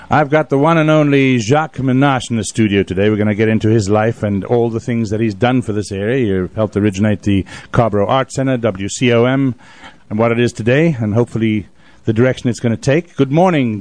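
A man's voice: mid-range voice, a little mellow, no room sound. A man hosting a talk radio show, introducing the program and addressing his listeners from a studio.

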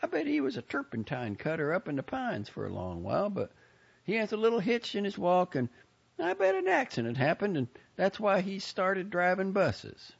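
A man's voice: sits high, huge pitch swings, normal speed, creaky, husky, lo-fi sound, pitched above the performer's own voice, full character voice. A man voicing a chatty, nosy, pious older Southern woman in an audiobook story, gushing and self-satisfied in a folksy rambling way. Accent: American South.

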